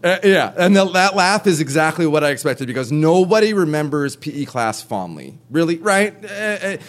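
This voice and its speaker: A man's voice: on the high side, sing-song, moderate pace. A young man from Oregon telling a personal story to a live audience, nervous, stammering and restarting. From Oregon, USA. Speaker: storyteller on stage.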